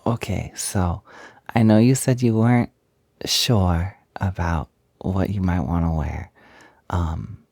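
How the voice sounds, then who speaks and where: A young man with a low, mellow voice plays an eager, cutesy roommate in an intimate roleplay. He talks slowly and hesitantly, coaxing and chatty, as if to one listener close by. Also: no room sound. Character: playful, eager, cute-loving feminine male roommate. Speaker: audio roleplay performer. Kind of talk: intimate improvised-sounding audio roleplay, one-sided conversation.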